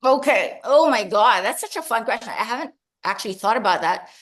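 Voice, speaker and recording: A woman's voice: on the high side, expressive, fairly quick, fairly bright tone. A woman from the Philippines, an author answering interview questions in a chatty, laughing, self-deprecating way over a video call. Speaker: author guest.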